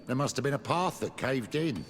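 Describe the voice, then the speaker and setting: An actor playing a practical, cautious village miner in a fantasy game, speaking in a plain, measured way. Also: male voice, medium pitch, expressive, in a large hall, a little hiss or hum, lightly acted. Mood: obliging. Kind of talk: game dialogue.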